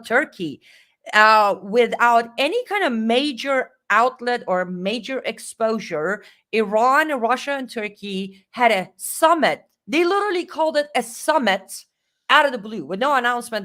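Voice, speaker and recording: A woman with a Middle Eastern accent co-hosting a live stream, talking in a lively, emphatic way. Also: on the high side, measured pace. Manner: animated, talkative, emphatic. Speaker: co-host. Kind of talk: casual live-stream commentary.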